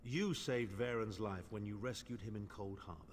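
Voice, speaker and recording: An actor playing a haughty older imperial noble mage who talks down to others with dry sarcasm, in game dialogue. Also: male voice, lowish voice, normal speed, in a large hall, a crowd in the background, close to an everyday voice. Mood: condescending. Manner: condescending, dryly sardonic.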